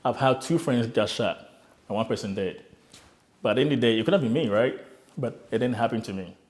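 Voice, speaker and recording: A man's voice: medium pitch, fairly quick, darkish tone. A young man, earnestly telling his own immigrant story in an interview, often repeating words as he restarts. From Ghana. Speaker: interviewee, immigrant start-up founder. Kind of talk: reflective personal story.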